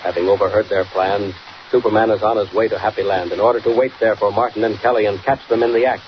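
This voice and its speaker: A man's voice: fairly low pitch, steady tone, quick pace. An American man narrating a 1940s radio serial in an emphatic, declamatory, dramatic style. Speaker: announcer, narrator. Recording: muffled, hissy old 1940s radio recording.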